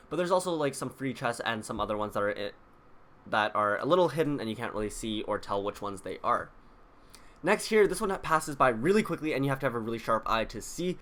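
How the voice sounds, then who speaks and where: A man's voice: mid-range voice, sharp tone. A man hosting a video, explaining details to viewers in a casual, chatty way. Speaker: video host. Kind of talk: casual scripted video commentary.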